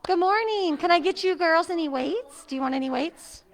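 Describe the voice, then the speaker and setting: A woman's voice: very high-pitched, animated, normal speed. A middle-aged woman, a fitness instructor, chatting casually before class, her voice muffled by a face mask. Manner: chatty, friendly, laughs often. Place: seniors' center exercise room.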